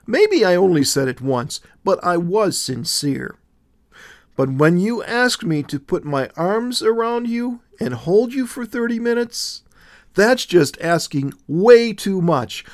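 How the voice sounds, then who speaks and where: A flustered young woman, raised in pitch and pushing hard as she protests, stammering and indignant, as a male narrator puts her on. The voice is dry and close, with no room around it. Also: dry recording. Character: anxious defensive young woman.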